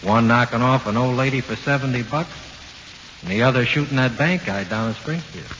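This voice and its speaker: An actor playing the ghost of an executed gangster in 1940s radio horror, talking tough, streetwise slang with cool menace. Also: male voice, medium pitch, fairly quick, fairly bright tone, natural voice. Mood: casual, cool. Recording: muffled hissy 1940s radio recording.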